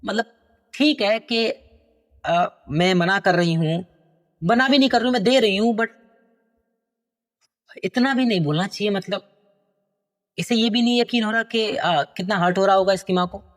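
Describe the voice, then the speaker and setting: A man voicing a meek, hurt mother who speaks softly and hesitantly. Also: high voice, normal speed, pauses often, big-room sound, natural voice. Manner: hesitant, soft-spoken, faltering.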